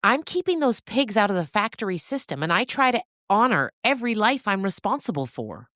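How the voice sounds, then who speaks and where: A woman's voice: medium pitch, very animated, a little fast, bright, forceful tone, dry recording, studio quality, pitched above the performer's own voice. Woman playing a frank, earnest woman farmer. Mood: earnest, defensive. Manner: frank, heartfelt.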